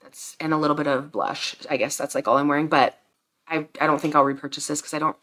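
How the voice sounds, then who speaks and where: A woman's voice: low voice, fairly even intonation, fast, a bit bright. A pregnant young woman in her twenties chatting casually about beauty products from her bedroom, congested and enthusiastic, rambling with fillers. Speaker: beauty vlogger. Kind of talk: casual product review chat. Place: bedroom at home, indoors.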